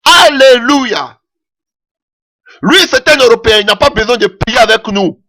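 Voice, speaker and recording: A man's voice: extremely high pitch, measured pace, sharp tone. A man preaching a sermon in French that is not his mother tongue, impassioned and punctuating his points with "Alléluia".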